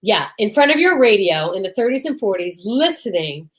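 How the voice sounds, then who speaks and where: A woman's voice: medium pitch, big pitch swings, moderate pace. A woman co-hosting an old-time radio podcast, chatting casually and introducing the show in a gushing, theatrical way.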